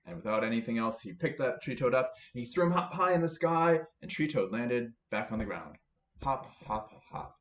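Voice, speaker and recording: A man's voice: medium pitch, big pitch swings, moderate pace. A man telling a story to children as a library storyteller, with playful repetition and emphasis. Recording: roomy, slightly dull recording with faint noise.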